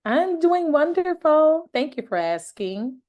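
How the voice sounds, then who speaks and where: A woman's voice: sits high, big pitch swings, takes it slowly, darkish tone. A woman from Michigan, a guest on a podcast, friendly as she tells her story. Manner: friendly, laughs lightly while telling her story. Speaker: interview guest, child care owner. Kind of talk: casual podcast interview.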